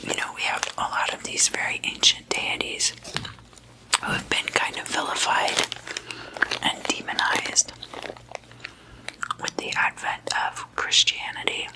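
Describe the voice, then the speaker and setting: A woman hosting ASMR, speaking informally in a soft, breathy, unvoiced whisper with a deliberate, gently playful manner. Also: low-quality recording. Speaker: ASMR video host.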